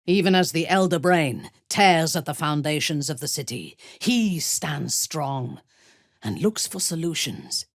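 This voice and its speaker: A ruthless, commanding female dark elf for a fantasy game. Her voice is husky and mostly low, with wide, dramatic swings in pitch. She speaks formally and imperiously, with a vengeful edge. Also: animated, measured pace, no echo, studio quality, exaggerated voice. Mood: proud. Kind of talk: fantasy video game voice acting.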